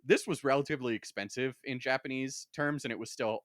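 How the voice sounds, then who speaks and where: A man's voice: medium pitch, fast, fairly bright tone, no echo, studio quality. A man hosting a podcast in casual, wry banter, joking and swearing freely as he talks. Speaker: podcast host.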